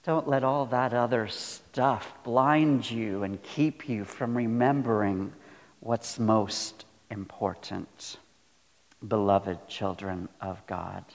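A man preaching a sermon as a pastor, earnest and deliberate, repeating phrases for emphasis. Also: fairly low pitch, steady tone. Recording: long hall reverb.

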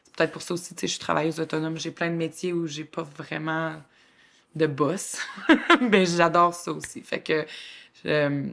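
A woman with a Québécois accent co-hosting a podcast, chatting in a lively, expressive, colloquial way and laughing often. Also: low-pitched, normal speed. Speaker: podcast co-host. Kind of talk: casual chat.

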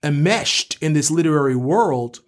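A man's voice: on the high side, expressive, moderate pace. A man speaking as a professor and co-host, explaining literature in a scholarly way and often posing rhetorical questions.

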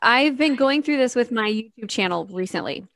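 Woman host rambling through a story, bit high voice, dry close mic. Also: lively intonation, moderate pace, vocal fry, fairly bright tone, dry recording. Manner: storytelling with acted-out inner quotes, many "like"s. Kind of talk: casual podcast chat.

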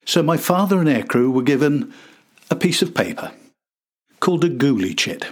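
A man's voice: a little high, very animated, normal speed, slightly rough. An older man narrating a scripted history podcast as its host.

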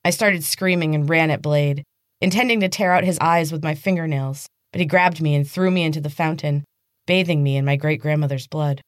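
A woman narrates fiction in a dramatic audiobook style. Her voice sits low for a woman. The recording is dry, with no room sound around her. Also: steady tone, brisk, no echo. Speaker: podcast host and author reading own novel.

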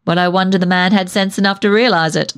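A woman reader voicing a comfortable, easygoing husband in a story, unhurried and dryly reassuring. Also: medium pitch, fairly even intonation, a bit bright, clean tone, lightly acted. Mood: dryly amused.

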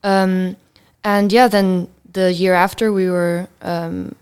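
Smooth, even-toned teenage girl. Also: on the low side, slow pace. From Belgium. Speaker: student committee chair, interview guest. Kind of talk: interview answers.

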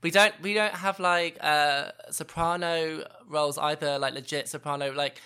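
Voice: mid-range voice, steady tone, moderate pace, sharp tone, clean tone. An interviewer who talks in an enthusiastic, chatty way. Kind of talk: conversational interview.

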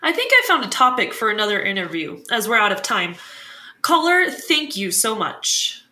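A woman playing a polished, inquisitive talk-show host in a fiction podcast, with a crisp presenter's delivery. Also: a little high, big pitch swings, normal speed, bright, forceful tone, reverberant. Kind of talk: fiction podcast acting as broadcast interviewer.

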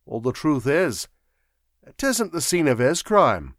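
A man's voice: on the high side, big pitch swings, measured pace, no room sound, clean studio sound, pitched above the performer's own voice. A man voicing a mild, nonchalant private secretary in a storybook, with a vague, leisurely, drowsy drawl. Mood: calm.

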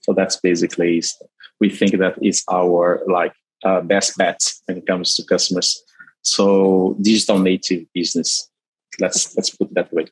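A man from Brazil with a Brazilian Portuguese accent, a company CEO answering interview questions haltingly with frequent restarts. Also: low voice, level tone, slightly rough, no echo, low-quality recording. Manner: halting, many restarts and fillers.

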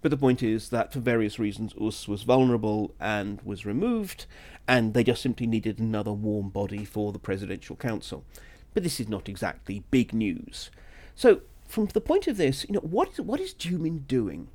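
A man's voice: lowish voice, very animated, moderate pace, darkish tone. A man talking through political analysis as a solo podcast host, conversational and discursive, with asides and self-corrections.

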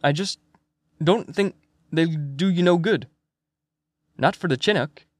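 A man's voice: fairly high pitch, measured pace, no room sound, voice pushed up. A man voicing a sly, toothless, fussy old Swedish fisherman in an audiobook, sentences rising like questions. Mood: wary advice. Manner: questioning rise at sentence ends. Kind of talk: acted audiobook character dialogue.

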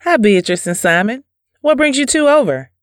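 An actress playing a gentle, trusting wife and mother, politely spoken, in an audio drama. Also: a little high, lively intonation, moderate pace, no echo, clean studio sound, close to an everyday voice. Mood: kindly.

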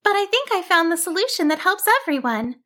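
A woman's voice: very high voice, sing-song, a little fast, bright, forceful tone, voice pushed up, full character voice. A woman voicing a small, earnest and anxious young female sheep in a storybook tale. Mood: tired, satisfied. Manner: earnest, nervous, stammering when flustered.

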